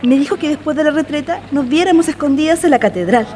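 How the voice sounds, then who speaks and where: A woman's voice: high voice, lively intonation, fast talker, a little mellow, a faint crowd, a little hiss or hum. A woman acting an earnest, lovestruck young woman in an amateur radio play, with a Chilean accent and a dreamy, girlish manner. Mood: eager.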